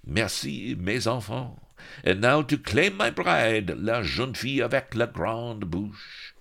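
A man voicing an excitable pirate captain in an audiobook, who babbles French phrases in a shrill, gleeful voice. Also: takes it slowly, vocal fry, full character voice. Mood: smug.

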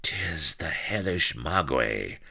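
A spiteful pirate villainess, put on by a male narrator, sneers and gloats in a swashbuckling storybook. The voice is hushed, with a creaky edge. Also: deep voice, sing-song, a little slow, pitched above the performer's own voice, heavily acted. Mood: spiteful relish.

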